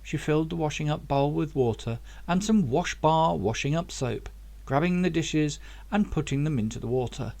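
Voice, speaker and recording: A man's voice: on the high side, normal speed, gravelly, a little mellow. A man reading his own novel aloud as scripted audiobook narration.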